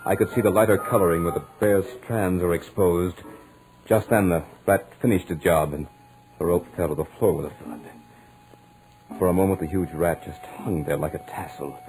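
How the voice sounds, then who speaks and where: An actor playing an anxious student who narrates his mounting dread in a dramatic, deliberate 1940s radio horror style. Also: low-pitched, fairly even intonation, a little mellow, close to an everyday voice, rough recording. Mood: mounting terror. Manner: dramatic, deliberate, suspenseful delivery. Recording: old 1940s radio recording, music behind. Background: dramatic musical score.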